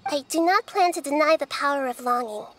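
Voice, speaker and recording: A woman voicing a calm, wise young girl goddess in a game, speaking softly and thoughtfully, over game music. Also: high voice. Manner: soft, measured, thoughtful. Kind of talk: game voice acting. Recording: game soundtrack music behind.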